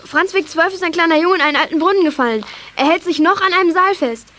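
Young girl, high pitch, eager, radio club member, children's radio play. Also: quick pace, bright, forceful tone. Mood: alarmed.

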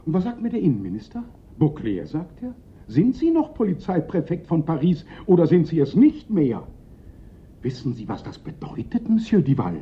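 An actor playing a fretful, self-pitying police prefect of Paris in a German radio play, theatrical and given to panicky lament. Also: male voice, a little high, wildly swooping pitch, normal speed. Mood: alarmed, indignant. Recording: dull, lo-fi radio play recording.

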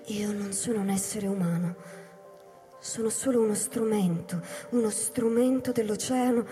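A woman playing an anguished, bewildered alien replica of a human in a radio drama, halting and intense. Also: medium pitch, natural voice. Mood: resigned, confessional. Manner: halting, fragmented, intense delivery with hushed passages. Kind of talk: theatrical radio drama acting with live music. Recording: long hall reverb under music. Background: music.

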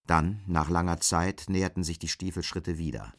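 A man's voice: sits low, fairly even intonation, normal speed. A man narrating a scripted literary radio drama.

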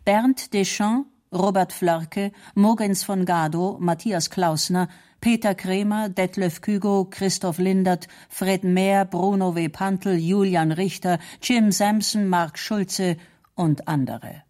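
A woman announcer reading radio drama credits in a measured, formal manner. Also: medium pitch, a little mellow.

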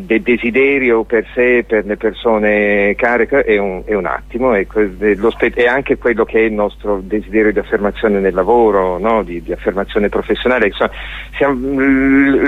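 A man's voice: fairly low pitch, no echo. A man being interviewed on radio as a guest artist, explaining a show discursively and searching for words. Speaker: guest, theatre and dance artist. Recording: narrow tinny phone-like line.

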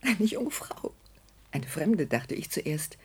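A grieving middle-aged mother in a radio play, her voice low for a woman and extremely breathy. She speaks in a rapt, dreamy way, swinging widely in pitch as if entranced by visions. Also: sing-song, slow, a little mellow, exaggerated voice. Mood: entranced, whispering. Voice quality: breathy, half-whispered. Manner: rapt, fervent, faltering. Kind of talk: German radio drama acting.

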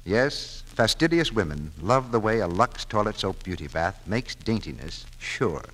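A man's voice: fairly low pitch, very animated, a little slow, fairly bright tone, poor audio. A man announcing and selling in scripted 1940s radio style, polished and emphatic. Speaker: announcer, pitchman. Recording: vintage 1940s radio, faint noise.

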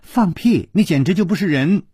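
A man voicing a spirited young princess in a storybook, petulant and exclaiming, quick to tease, rage or weep. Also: lowish voice, big pitch swings, mellow, breathy edge, no room sound, clean studio sound. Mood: angry, flustered. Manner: petulant, exclaiming, stammering when upset.

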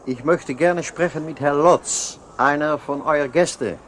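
An actor playing a brisk, businesslike male agent in a radio drama. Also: fairly high pitch, a bit bright. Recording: radio play with clear background noise.

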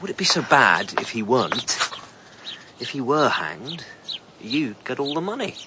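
A man's voice: mid-range voice, sing-song, a little slow, heavy background noise. An actor playing a sly, sardonic man who mocks others in a radio drama. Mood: mocking. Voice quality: sardonic, mocking. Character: sly, mocking male rogue.

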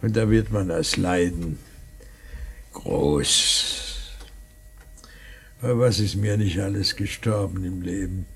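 Solemn old man in mourning, radio play, low gravelly voice, ponderous rhetorical delivery, tender. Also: slow, long pauses, full character voice. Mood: solemn, sorrowful. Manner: ponderous, repeats words, rhetorical. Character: grieving old father, solemn, philosophical, tender. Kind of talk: German radio play acting.

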